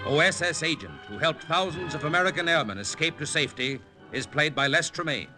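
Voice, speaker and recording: A man with a fairly high voice speaks over loud music as a radio announcer. His delivery is scripted, deliberate and dramatic, in the manner of 1940s broadcasts. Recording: vintage radio recording with music.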